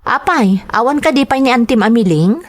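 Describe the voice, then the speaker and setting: A woman's voice: on the high side, expressive, normal speed. A woman playing a caring, worried village mother and wife in an Ilocano radio drama, speaking expressively and with sympathy. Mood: concerned. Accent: Filipino, Ilocano. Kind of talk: radio drama acting with narration.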